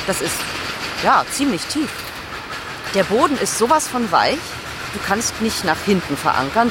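A woman explaining technical work in a matter-of-fact, colloquial way. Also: medium pitch. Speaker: building project professional. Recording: noisy outdoor recording with traffic.

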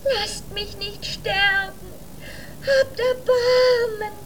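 A woman playing a small, pleading child creature inside an egg in a children's radio drama, with a childlike voice and a slow, plaintive way of talking. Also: fairly even intonation, a bit bright, slight background noise, exaggerated voice, low-quality recording. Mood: plaintive, desperate. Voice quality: very high, childlike.

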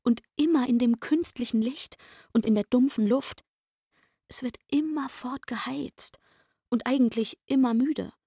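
A woman's voice: high voice, measured pace, warm tone, slightly rough, no room sound, clean studio sound, exaggerated voice. An actress playing an aggrieved young chambermaid in a literary radio play, insistent and indignant as she pours out her complaints.

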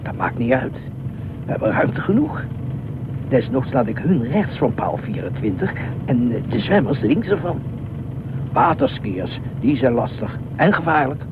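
A man's voice: mid-range voice, very animated, measured pace. Tinny noisy audio, eccentric middle-aged beach watchman, officious, rambling, indignant, radio play. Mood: fussy, eager. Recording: noisy, tinny phone-like radio drama recording.

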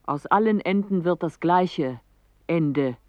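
An actor voicing a stark, despairing speaker who declaims poetic fragments emphatically in a radio play. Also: male voice, a little high, slow pace, dry recording. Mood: stark, emphatic. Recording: dull, dry 1980s radio recording.

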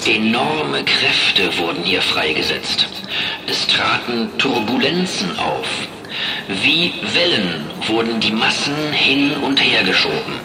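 A man narrating slowly and solemnly, his pitch rising and falling with expression. He sounds set back from the microphone in a roomy space, with loud music playing under him. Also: sing-song, far from the mic, noisy background. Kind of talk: slow documentary-style narration.

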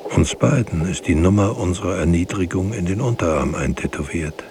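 Radio drama narrator: grave poetic witness, very low creaky voice, solemn delivery, faint hiss. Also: male voice, lively intonation, brisk.

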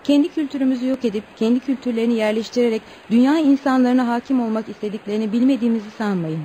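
Actress as composed, mellow-voiced young woman. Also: a little high, rapid-fire, slight background noise, close to an everyday voice, low-quality recording. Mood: firm. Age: young adult. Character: composed, polite, outspoken young Eskimo woman. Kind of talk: radio play acting.

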